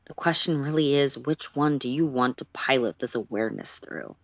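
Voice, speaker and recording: A livestream reader voicing a thoughtful, philosophical otherworldly guide in a story, measured and reflective. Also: medium pitch, no room sound, dull audio, lightly acted. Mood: thoughtful, gentle.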